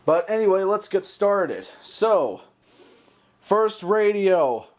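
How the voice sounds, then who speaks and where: Chatty young-sounding high-voiced American man. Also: very animated, takes it slowly. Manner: chatty, playful, slangy. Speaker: hobbyist video host. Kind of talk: casual show-and-tell vlog.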